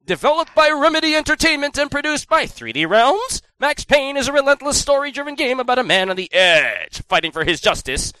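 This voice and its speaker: A man's voice: very high voice, sing-song, fairly quick, hardly any pauses, pushed and bright, dry recording, low-quality recording. Hammy young man doing trailer voice. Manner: hammy, overdramatic trailer-voice reading. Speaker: co-host. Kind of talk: comedic dramatic reading of box copy.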